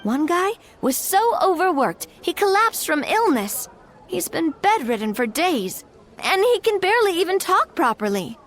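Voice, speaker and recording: A kind young woman in a game, her voice fairly high and lively. She sounds easygoing and reassuring, chatting with her companions. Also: moderate pace, faint music behind, heavily acted. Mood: explaining. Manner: warm, reassuring, conversational. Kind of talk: game voice acting.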